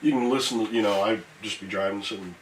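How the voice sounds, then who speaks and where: A laid-back man with a lowish voice talks casually over breakfast and swears freely. He sits away from the mic in an echoey room, with dishes and cutlery clattering behind him. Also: fast talker, far from the mic, a little hiss or hum. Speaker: former long-haul truck driver.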